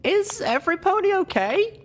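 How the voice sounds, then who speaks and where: High, eager young mare heroine played by a man, storybook reading, hiss behind. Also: sing-song, moderate pace. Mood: weak, worried. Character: excitable young female pony narrator-heroine, weak but eager.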